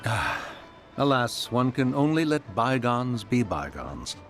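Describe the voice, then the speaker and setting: A man's voice: medium pitch, lively intonation, slow, a bit bright, faint music behind. A man voicing a proud ancient adeptus in human form, speaking in a stately, archaic way. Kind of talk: game voice acting.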